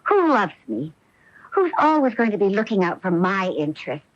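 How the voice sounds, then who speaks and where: An actress in a radio drama playing an earnest, driven star golfer who pleads emotionally and persuasively as a loving wife. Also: female voice, medium pitch, big pitch swings, normal speed, no room sound, natural voice. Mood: urgent, persuasive. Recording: muffled old AM radio broadcast.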